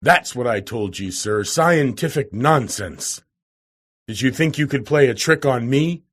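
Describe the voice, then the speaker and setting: A man's voice: mid-range voice, animated, measured pace, slightly rough. A man reading aloud who voices a hot-tempered, blustering older professor with a roaring manner. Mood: furious.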